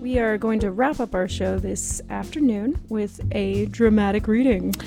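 A woman's voice: mid-range voice, moderate pace, vocal fry, mellow, soft background music. A woman co-hosting a podcast in casual chat, with a giggly, self-deprecating way of talking. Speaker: podcast co-host. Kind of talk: casual chat and playful dramatic reading.

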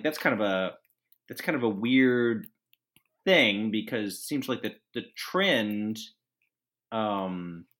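A man's voice: fairly low pitch, a bit bright. A man hosting a casual podcast chat, rambling through his thoughts with many fillers and restarts. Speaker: podcast host.

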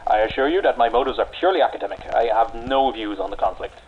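A man's voice: mid-range voice, fast talker, slightly rough, highs cut off, lightly acted. A man playing a nervous English historian. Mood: earnest. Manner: formal, placating, hesitant. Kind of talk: radio drama acting.

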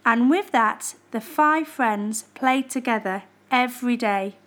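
A woman reading a children's storybook expressively and deliberately. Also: on the high side, fairly bright tone.

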